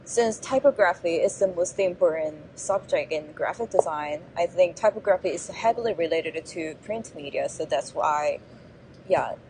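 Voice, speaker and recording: Soft-voiced Korean-accented woman. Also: lowish voice, slight background noise, low-quality recording. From South Korea. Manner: thoughtful, hesitant, many fillers. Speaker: graduating design student. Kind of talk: interview answers.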